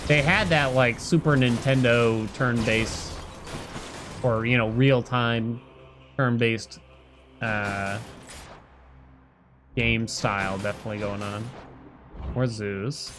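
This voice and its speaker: A man's voice: mid-range voice, fairly even intonation, slow, long pauses. A middle-aged man chatting casually as he commentates a live game stream, thinking aloud in a rambling way. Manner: rambling, thinking aloud, humming little nonsense tunes. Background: loud game music.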